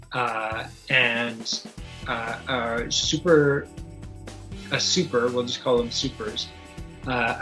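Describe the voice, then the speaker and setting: A man working as game master of a tabletop superhero game, improvising narration and explaining rules in a halting way, searching for his words. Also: medium pitch, pushed and bright, poor audio.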